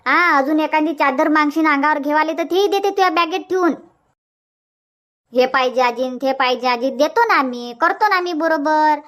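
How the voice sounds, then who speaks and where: Woman doing a fussy old granny, scolds and coaxes nonstop, highish voice. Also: a bit bright. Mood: scolding. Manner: scolding, coaxing, rapid homely chatter. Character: bossy fussing old village grandmother. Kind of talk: cartoon dubbing.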